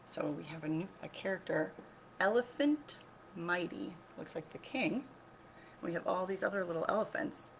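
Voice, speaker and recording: A woman reading a picture book aloud as a teacher, expressive and instructive, often pausing to put questions to listeners. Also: fairly low pitch, vocal fry, slight background noise, low-quality recording. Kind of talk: read-aloud lesson for children with questions to listeners.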